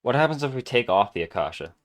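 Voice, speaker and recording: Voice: medium pitch, sing-song, fast talker. A game streamer giving casual, musing commentary and thinking aloud while playing.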